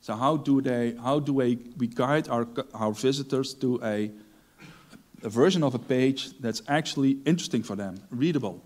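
A middle-aged man, a native Dutch speaker, presents a technical talk from a conference stage. His delivery is halting and thoughtful, full of ums and restarted phrases, at an ordinary mid pitch, through a clip-on mic. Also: darkish tone. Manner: hesitant, many ums and restarts. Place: large dark conference stage.

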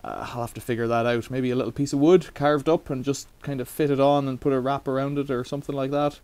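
A man's voice: medium pitch, fairly even intonation, quick pace, a little mellow, clear, resonant tone, no room sound. A middle-aged man casually demonstrating a bike repair in a cluttered workshop, rambling with wry asides.

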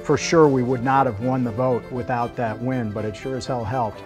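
Middle-aged guy doing a documentary interview, music clearly playing behind him. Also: medium pitch, fairly even intonation, moderate pace. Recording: music bed under speech.

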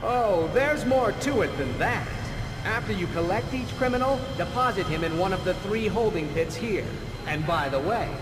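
A man's voice: sits high, lively intonation, normal speed, reverberant, over music. An actor playing a smug, theatrical male showman who taunts his challenger in a video game. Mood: taunting.

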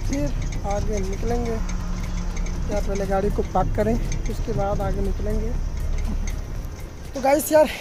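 Chatty young trucker vlogging on a phone. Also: male voice, sits high. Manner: chatty, keeps saying "guys, yaar". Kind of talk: casual vlog narration to camera. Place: truck cab and roadside, outdoors. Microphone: phone held at arm's length. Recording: noisy roadside phone recording. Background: vehicle and road noise.

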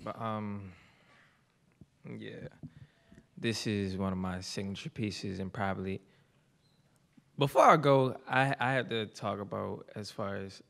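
Teen student poet introducing himself, low flat gravelly voice, halting. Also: male voice, a little hiss or hum. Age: teens. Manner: halting, with restarts and fillers. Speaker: student spoken word performer. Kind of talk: casual spoken introduction. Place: stage of a lecture hall with an audience. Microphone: mic on a stand.

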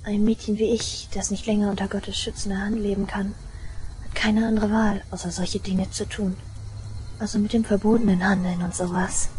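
A woman playing an obsessive, unhinged young shrine maiden in an audio drama, intense and deliberate, moving between hushed and frenzied delivery. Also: mid-range voice, level tone, a little hiss or hum, lightly acted, poor audio. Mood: hushed, resolute. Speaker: voice actress.

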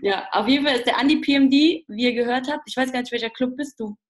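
A woman's voice: sits high, quick pace. A woman in her twenties hosting a video call, introducing a guest in a bubbly, informal way. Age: 20s. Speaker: host of the team call. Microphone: laptop or phone mic, video call.

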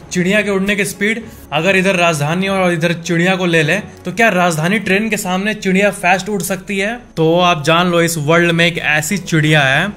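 A man's voice: sits high, a bit bright. A man presents facts to camera in a conversational, emphatic way, repeating points for emphasis. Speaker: presenter of a facts video channel. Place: small home office studio, indoors. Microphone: desk microphone in front of him. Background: background music.

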